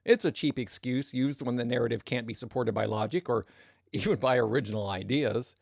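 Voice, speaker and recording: A man's voice: medium pitch, brisk, gravelly, dry recording, clean studio sound. A man acting a pompous, wordy, self-satisfied narrator of a sci-fi comedy audio drama. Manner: pompous, verbose.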